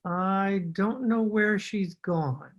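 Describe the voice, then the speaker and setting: A woman playing a nervous, evasive man in a radio detective drama, husky, hesitant and formally polite. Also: mid-range voice, very slow, dull audio. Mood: evasive, flustered. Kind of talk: old-time radio detective acting. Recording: home recording over video call.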